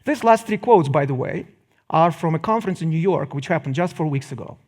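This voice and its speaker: A middle-aged man with a Russian accent gives a public lecture, phrasing deliberately with pauses and wry asides. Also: a little high, expressive, darkish tone.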